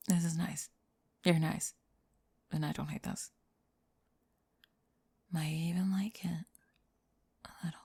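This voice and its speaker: A woman playing a prickly but secretly affectionate tomboy friend in an ASMR roleplay, sleepy and often whispering, deadpan and grudgingly tender. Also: lowish voice, vocal fry, breathy edge, dry recording, voice pushed down, full character voice. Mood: shyly tender. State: drowsy. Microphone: close ASMR microphone.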